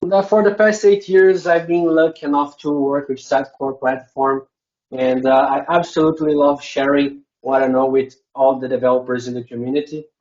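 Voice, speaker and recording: A man's voice: a little high, steady tone, moderate pace, darkish tone, dull audio. A man from Brazil with a Brazilian Portuguese accent, a non-native English speaker, giving a conference presentation with many hesitant filler sounds.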